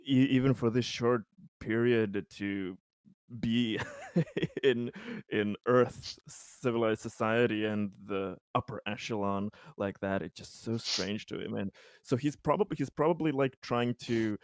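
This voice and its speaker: A man playing a tabletop game, casually describing his character in a halting, rambling way. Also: mid-range voice, clear, resonant tone, dry recording, studio quality.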